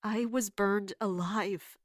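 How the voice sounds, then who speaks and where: A woman playing a wounded, caring but guarded royal guard captain in an audio drama, speaking earnestly and haltingly. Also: medium pitch, fairly even intonation, no echo, clean studio sound, natural voice. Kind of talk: dramatic audio fiction acting.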